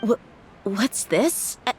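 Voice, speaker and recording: A woman's voice: fairly high pitch, expressive, slow, slight background noise. A woman voicing a blunt, exasperated young female mercenary in a video game, informal and sardonic. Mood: exasperated, wry.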